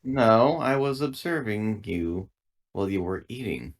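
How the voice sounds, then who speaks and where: A man with a somewhat low voice takes part in a tabletop game. He improvises what his character does in casual, conversational talk. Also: lively intonation, unhurried. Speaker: tabletop roleplaying player.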